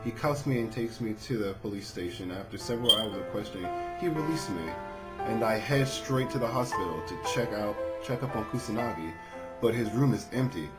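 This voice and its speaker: A man's voice: on the low side, fairly quick. A man hosting a let's play, commenting and reading a visual novel aloud into a microphone over game music. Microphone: dedicated microphone. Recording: loud game music behind the voice.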